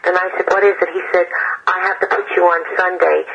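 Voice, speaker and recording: A woman's voice: lowish voice, flat delivery, quick pace, vocal fry, a bit bright. An older woman, a singer being interviewed, telling stories bluntly and with animation over a muffled phone line. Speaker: guest singer.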